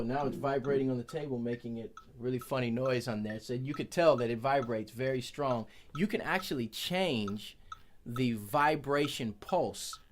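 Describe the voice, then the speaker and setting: A drummer talks through a product review in a relaxed, chatty way, correcting himself and tossing in jokes. His voice sits at an ordinary middle pitch for a man. He is recording in his office. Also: slight background noise. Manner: chatty, self-correcting, joking asides. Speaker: percussionist reviewing a metronome.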